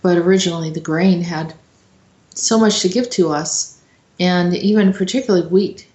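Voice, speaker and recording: A woman host giving informal commentary in a conversational, halting way, as if thinking aloud. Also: lowish voice, a little mellow. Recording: roomy, echoey sound.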